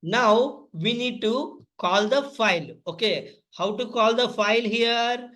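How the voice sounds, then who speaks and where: A man presenting a step-by-step software tutorial in an instructive way, sometimes spelling things out. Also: extremely high pitch, slow. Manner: instructive, spells out words letter by letter.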